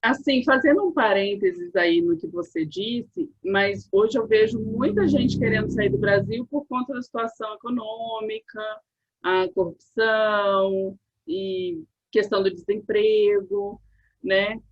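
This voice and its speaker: A woman hosting an interview, asking relaxed follow-up questions. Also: mid-range voice, a little slow, husky. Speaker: interviewer host. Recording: dull lo-fi sound.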